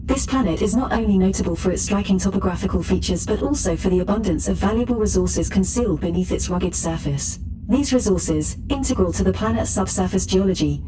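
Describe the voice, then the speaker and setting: A woman plays an impassive female ship computer in a sci-fi audio drama. The mid-pitched voice recites reports in formal, impersonal sentences. Clear ambient noise sits behind it. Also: natural voice. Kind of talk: scripted sci-fi status report.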